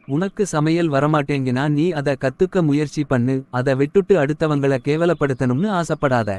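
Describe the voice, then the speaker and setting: Guy acting stern preachy husband, cartoon moral story, slightly high voice, very dry sound. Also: a little mellow, dry recording, natural voice. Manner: moralizing, instructive. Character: stern, preachy husband admonishing his jealous wife. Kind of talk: animated moral short voice acting.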